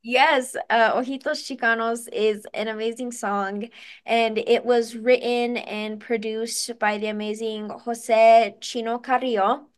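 A young woman with a fairly high voice chats in a relaxed, upbeat way. She talks as a guest singer on an interview show, with plenty of "um"s and false starts. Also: unhurried. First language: English. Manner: chatty, upbeat, with frequent fillers and restarts. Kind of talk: casual interview chat.

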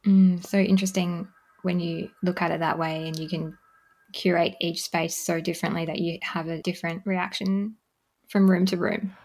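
A woman hosting a podcast interview, speaking in soft, encouraging interjections. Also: on the low side, level tone, moderate pace, low-quality recording. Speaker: podcast host. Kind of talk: casual interview.

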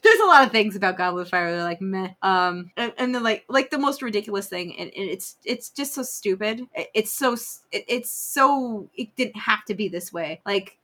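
A woman co-hosting a podcast, giving casual, opinionated pop-culture chat in an animated, theatrical way. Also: mid-range voice, normal speed, hardly any pauses. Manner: animated, theatrical when quoting. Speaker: podcast co-host.

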